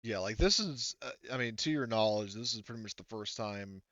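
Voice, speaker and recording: A man's voice: lowish voice, a little fast, a bit bright, dry recording, highs cut off. A man acting as game master in a casual online tabletop session, rambling and thinking aloud as he narrates. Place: online voice call.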